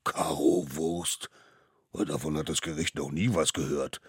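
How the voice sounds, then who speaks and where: A man voicing three stern old mammoth judges who speak slowly and pompously. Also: a bass voice, fairly even intonation, breathy edge, no room sound, clean studio sound, full character voice.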